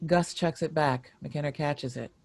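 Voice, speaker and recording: A man reading scripted screenplay stage directions in a measured, descriptive narration. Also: on the high side, darkish tone, no echo.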